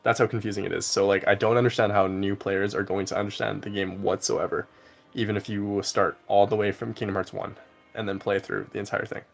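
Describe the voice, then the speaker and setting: A young man with a somewhat low voice chats casually on a game stream, answering viewers in a relaxed, rambling flow. Faint game music plays quietly behind him. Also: brisk, gravelly. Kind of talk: casual live-stream chat while gaming.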